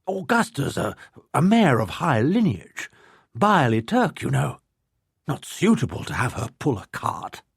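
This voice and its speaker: A man's voice: mid-range voice, wildly swooping pitch, measured pace, creaky, slightly rough, dry recording, studio quality, heavily acted. A man reading a story, putting on a haughty, indignant old lord who stammers at times. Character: blustering pompous old English lord.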